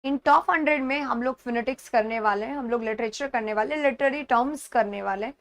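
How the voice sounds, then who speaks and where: A woman's voice: on the high side, quick pace, fairly bright tone, dry recording. A woman with an Indian accent teaching an exam-prep lesson step by step, repeating key words and checking that students follow. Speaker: English teacher.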